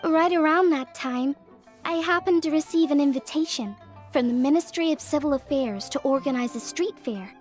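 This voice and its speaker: A woman's voice: high-pitched, moderate pace, darkish tone, natural voice. A woman as an earnest young craftswoman. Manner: earnest, explanatory, slightly modest. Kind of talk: video game voice acting. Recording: game cutscene with faint music.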